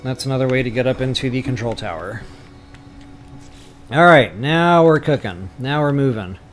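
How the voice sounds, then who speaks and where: A man's voice: medium pitch, moderate pace. Casual man talking through a game tutorial. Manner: informal, thinking aloud, mildly self-deprecating. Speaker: video game tutorial host. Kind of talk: casual screen-recorded game building tutorial. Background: music.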